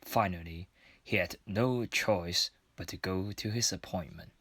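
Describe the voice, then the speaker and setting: A man reads scripted story narration for a radio drama. His voice is on the low side and recorded very dry, close and free of room sound. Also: measured pace, a bit bright, husky, no echo.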